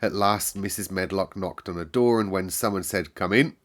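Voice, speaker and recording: A man narrates a classic children's novel aloud, calm and measured. His voice sits on the low side, steady through each sentence. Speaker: storyteller.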